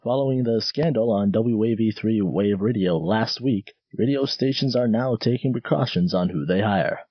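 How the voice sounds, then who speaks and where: A man's voice: on the low side, moderate pace, breathy edge, no room sound, lo-fi sound. A man playing a courteous, earnest radio news host, speaking in a formal broadcast manner.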